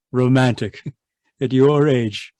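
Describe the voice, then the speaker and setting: A man's voice: medium pitch, steady tone, slow pace, dark tone, dry recording, close to an everyday voice. An actor playing a stern, irritable husband obsessed with his work, imperious and impatient, in old-time radio drama style. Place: live video call performance. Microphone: video call microphone.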